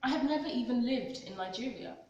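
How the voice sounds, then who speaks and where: A woman's voice: a little high, brisk, muffled recording, slight background noise. A woman in her twenties from London with a London accent, expressively reading her own personal essay aloud. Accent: London English. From London, England. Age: 20s. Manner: expressive reading with acted-out dialogue. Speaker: author and vlogger. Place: large echoey cultural hall. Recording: very echoey hall, distant mic.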